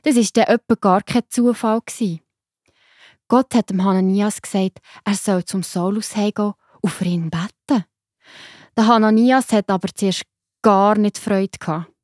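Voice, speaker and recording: Erzählerin mit schweizerdeutschem Akzent. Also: female voice, mid-range voice, normal speed, no room sound, studio quality. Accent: Swiss German. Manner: gentle, explaining. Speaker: narrator. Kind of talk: storytelling for children.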